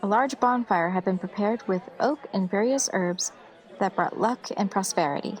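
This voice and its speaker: A woman's voice: medium pitch, normal speed. A woman reading scripted fantasy story narration as the narrator of an audio series. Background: festival crowd ambience.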